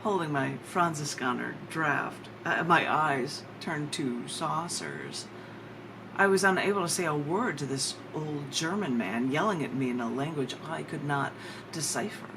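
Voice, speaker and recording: A woman with an American accent giving a live poetry reading, with theatrical, drawn-out emphasis on her words. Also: deep voice, lively intonation. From United States. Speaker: poet. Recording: clear background noise.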